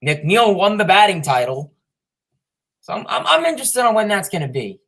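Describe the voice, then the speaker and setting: A man's voice: high voice, big pitch swings, moderate pace, creaky, a bit bright. A young man co-hosting a podcast, talking casually about baseball with many fillers and restarts. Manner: filler-heavy, meandering. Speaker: podcast co-host. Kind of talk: casual sports chat.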